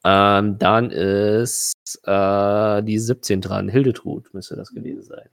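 Male game master for tabletop fantasy play, lower register, close dry recording, improvising narration and rulings in a halting way. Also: fairly even intonation, dry recording. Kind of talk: running a tabletop role-playing game, improvised narration and rules talk.

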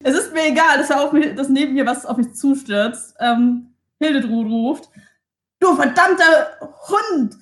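A woman's voice: sits high, fairly even intonation, moderate pace, few pauses, roomy sound. A woman playing a tabletop game, chatting casually and informally, dropping English slang into her speech.

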